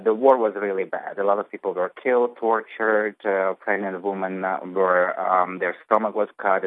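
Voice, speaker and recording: A man from Azerbaijan giving a personal account as a radio interview guest, in halting, non-native English. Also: deep voice, no echo. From Azerbaijan, former Soviet Union. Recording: narrow phone-like line.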